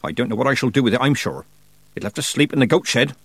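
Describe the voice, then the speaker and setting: Storybook role: prickly old country witch woman, played by a man, brusque and stern, raspy growl, very animated delivery. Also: medium pitch, quick pace, no echo, pitched above the performer's own voice. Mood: stern, grudging. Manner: brusque, folksy rural turns of phrase. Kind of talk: audiobook character voice.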